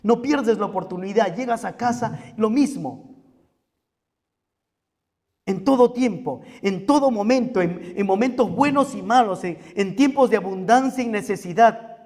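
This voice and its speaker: Peruvian guy preaching in church, pretty high voice, repeats stuff for emphasis, big reverby hall. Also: a little slow, darkish tone. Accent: Peruvian Spanish. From Peru. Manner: emphatic repetition and rhetorical questions to the congregation. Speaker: pastor. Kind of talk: sermon.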